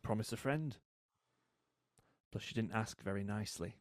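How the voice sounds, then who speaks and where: An actor in an audio drama playing a taunting, cold, vengeful British brother who speaks in a mocking, unhurried way. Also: male voice, lowish voice, dry recording, studio quality, lightly acted. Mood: smug.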